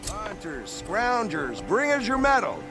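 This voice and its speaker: A man's voice: high voice, huge pitch swings, measured pace, heavily acted, rough recording. A man acting a quarrelsome, haggling market trader in a video game, full of complaint. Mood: bickering. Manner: bickering, complaining. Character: grumbling, haggling male market merchant, quarrelsome with his partner. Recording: music behind the dialogue.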